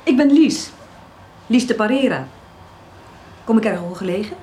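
A woman's voice: on the high side, animated, normal speed, mellow, slight background noise, close to an everyday voice. An actress playing a polite, poised female visitor in a naturalistic radio drama.

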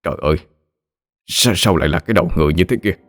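A man voicing a sick, horrified old husband who stammers in a low, hushed voice. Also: rapid-fire, dry recording, soft background music, close to an everyday voice.

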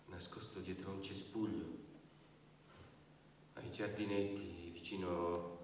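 Casting: haunted, guilt-ridden male witness, low voice, halting defensive stage monologue, heard from afar in a big reverberant hall. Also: level tone, a little mellow. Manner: halting, repeats phrases. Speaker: stage actor. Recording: very echoey hall, distant mic, faint noise.

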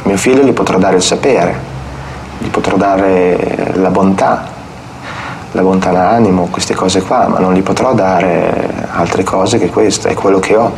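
A man with a deep, low voice speaks plainly about his own life. Faint ambient noise sits behind him. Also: a little slow, a little mellow, poor audio. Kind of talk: personal testimony, plain spoken.

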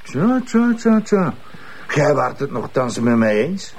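Smug Flemish schemer, male, taunting, lively intonation, crime drama. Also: mid-range voice, slow. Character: smug, needling man in shady crime intrigue. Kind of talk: television crime drama acting.

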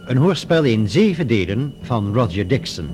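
A man's voice: medium pitch, very animated, normal speed. A male announcer solemnly reading scripted titles for a radio drama. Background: loud music.

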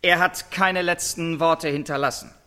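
An actor playing a prison warden who gives a formal, measured press statement in a radio drama. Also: male voice, on the high side, steady tone, sharp tone. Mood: solemn, official. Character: American prison warden giving a formal execution briefing.

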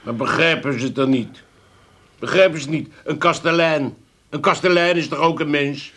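An actor playing a gloomy, quarrelsome pub landlord with an Amsterdam accent in a comic radio drama. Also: male voice, on the high side, moderate pace, fairly bright tone, natural voice. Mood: sullen complaining. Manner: grumbling, self-pitying, snapping.

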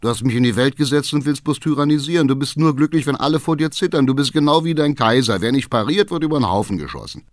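A man's voice: lowish voice, sing-song, normal speed, no room sound. A male narrator acts out a rebellious schoolboy in a dramatic audiobook. He sounds sullen, bitter and stubbornly defiant as he talks back, recorded dry and close. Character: sullen, defiant teenage schoolboy son rebelling against father.

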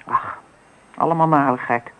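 A woman's voice: deep voice, steady tone, normal speed, a bit bright, low-quality recording. An actress playing an indignant, fretting middle-aged mother of a grown son in a radio play, gossipy and nagging. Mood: scandalized. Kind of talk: naturalistic radio play acting.

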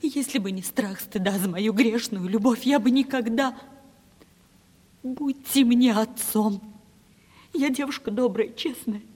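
An actress in a radio play voicing a poor, honest young woman, ashamed and pleading, with breathless phrases and trembling pauses. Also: fairly high pitch, a little mellow, husky. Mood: timid pleading. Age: young adult. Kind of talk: theatrical Russian radio play acting. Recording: reverberant 1970s radio drama recording.

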